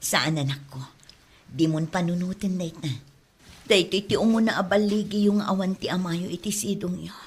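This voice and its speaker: A woman's voice: lowish voice, moderate pace, slightly rough. A woman playing a humble, weary, devoted mother in a radio drama, speaking earnestly and plaintively. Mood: tender resolve. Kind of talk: radio drama acting in Ilocano.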